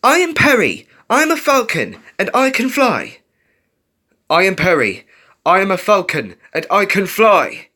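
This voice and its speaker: A storyteller voicing a timid young male falcon who is excitable and earnest, in a story reading. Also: medium pitch, slow pace, breathy edge, pitched above the performer's own voice, full character voice. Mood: determined.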